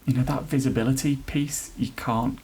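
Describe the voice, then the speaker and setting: A man who leads an NHS trust's inclusion work, answering podcast questions in an earnest, halting way with many restarts. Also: mid-range voice, fairly even intonation, darkish tone, slightly rough, poor audio. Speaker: NHS trust leader chairing an inclusion council.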